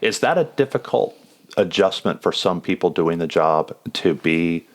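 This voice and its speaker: A man's voice: low voice, animated, moderate pace. A man hosting an informal, reflective interview as a chaplain and counselor, asking questions conversationally. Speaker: podcast host, police chaplain and counselor.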